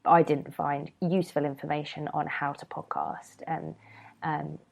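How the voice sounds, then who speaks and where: Low gravelly woman with a cold. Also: level tone, unhurried. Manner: rambling, chatty, many ums and restarts. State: has a cold, slightly nasal. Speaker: podcast host. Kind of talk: casual solo podcast chat.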